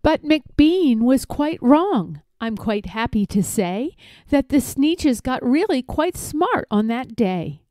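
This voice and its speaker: A woman reading rhyming verse aloud for children in an expressive, measured storybook style. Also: high-pitched, dry recording, studio quality. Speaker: storybook reader.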